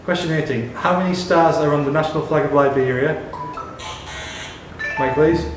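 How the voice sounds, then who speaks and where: A man's voice: a little high, normal speed, music in the background. A man acting as quizmaster, reading out questions in a scripted, question-and-answer style. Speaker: quiz question reader and host. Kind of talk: reading quiz questions aloud. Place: roomy pub during a quiz tournament. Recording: echoey pub room with crowd chatter.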